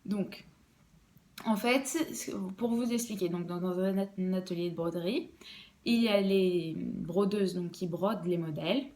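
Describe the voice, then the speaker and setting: A woman's voice: lowish voice, a little slow, darkish tone. A young woman, an embroidery designer, explaining her work in a casual podcast interview, hesitant and full of fillers and restarts.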